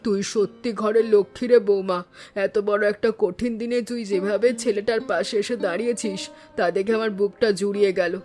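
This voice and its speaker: A woman's voice: medium pitch, steady tone, fairly quick, darkish tone, close to an everyday voice. Woman acting a fretting village mother, music behind. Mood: affectionate. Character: affectionate, fretting middle-aged village mother and mother-in-law. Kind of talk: animated family drama voice acting.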